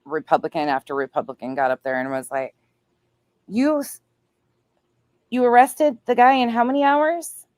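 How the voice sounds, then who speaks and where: A woman's voice: fairly high pitch, steady tone, moderate pace, long pauses, a little mellow, no room sound. A woman giving casual reaction commentary in an emphatic, colloquial way.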